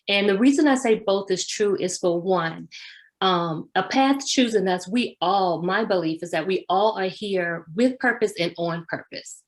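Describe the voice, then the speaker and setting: A woman guest on an online interview speaks very slowly and thoughtfully, in a medium-pitched voice. She reflects like a life coach and checks in with her listener as she goes. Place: on a video call.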